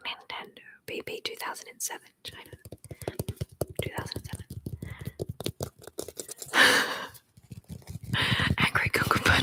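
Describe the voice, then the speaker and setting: A young woman, an ASMR creator, whispering as she rambles playfully, giggles and reads out viewer comments.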